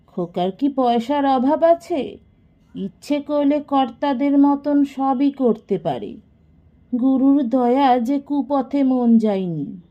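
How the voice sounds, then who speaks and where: A woman plays a loyal household cook in an audio storybook. This woman pleads defensively in a high, slow voice, heard through slightly dull sound. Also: expressive. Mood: defensive, earnest. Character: meek, loyal, talkative female household cook.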